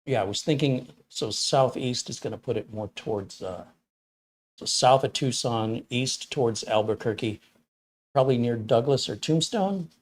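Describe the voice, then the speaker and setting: A man's voice: mid-range voice, lively intonation, moderate pace. A man in his fifties or sixties, from Detroit, hosting a casual live stream as a tech reviewer, rambling on and answering viewer questions with blunt opinions. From Detroit, USA. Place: small home studio room.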